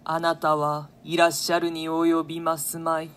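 A woman playing a stern, foreboding widowed queen in a classical stage play, with measured, grave theatrical diction. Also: low-pitched, monotone, a bit bright. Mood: resolved.

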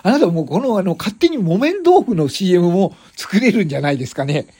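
Comic skit: tipsy, flustered man, played by a man, husky and animated, rambling, excitable, over-polite. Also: high voice, quick pace, exaggerated voice. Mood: excited, cheering. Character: tipsy, flustered, cheerful man stopped by police. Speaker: show host.

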